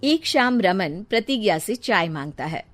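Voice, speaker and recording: A woman's voice: mid-range voice, sing-song, measured pace. A woman narrating a scripted family story in a storybook style. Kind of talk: scripted storybook narration in Hindi cartoon tale.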